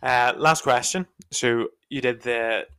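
A man's voice: mid-range voice, slow pace, bright, forceful tone, clear, resonant tone. A man co-hosting a casual church podcast, asking questions in a playful, teasing way.

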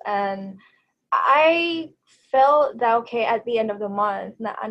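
A woman's voice: a little high, a bit bright, poor audio. Chatty Thai-accented woman. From Thailand. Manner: chatty, hesitant, lots of fillers. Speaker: podcast guest. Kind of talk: casual interview.

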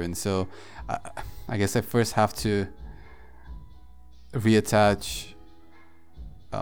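A man hosting a solo podcast, thinking aloud in a halting, reflective way with frequent pauses and fillers. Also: on the low side, a little mellow, clean tone. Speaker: podcast host. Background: faint background music.

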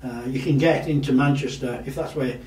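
A loud-voiced man talks through local matters as a councillor, full of pauses and fillers. His tone is mellow and dark. He sits away from the mic in a room with echo. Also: mid-range voice, steady tone. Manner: loud voice, halting with many fillers. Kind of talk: casual interview talk. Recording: echoey room, distant mic.

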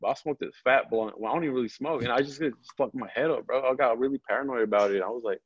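A man with a lisp, chatting casually as a podcast guest, halting and rambling, with lots of slang and swearing. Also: mid-range voice, husky. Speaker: podcast guest, comedian and film director. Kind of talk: casual chat, slangy storytelling. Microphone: video call. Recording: dull, lo-fi video call audio.

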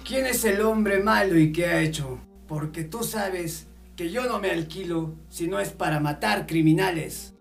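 A man playing a proud, cold village hired killer in a radio drama, deliberate and boastful. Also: high-pitched, gravelly, soft background music. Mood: cool, bargaining.

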